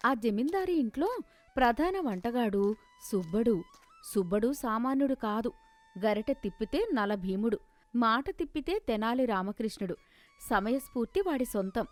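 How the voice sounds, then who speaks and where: A woman's voice: on the high side, lively intonation, moderate pace, faint music behind. A woman narrating a scripted moral story in a storytelling style.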